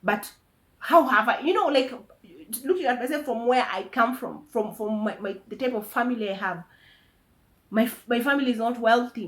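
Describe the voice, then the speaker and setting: Earnest woman, higher voice. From Tanzania. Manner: earnest, emotional, halting with repeated words. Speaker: vlogger. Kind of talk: personal faith testimony.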